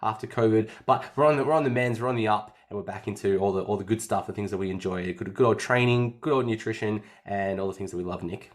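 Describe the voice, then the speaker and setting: A man hosts a casual fitness podcast in an upbeat, chatty way. His voice sits a bit low and still sounds slightly nasal after an illness, and he tends to repeat his phrases. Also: steady tone, quick pace, hardly any pauses. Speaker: fitness coach and podcast host.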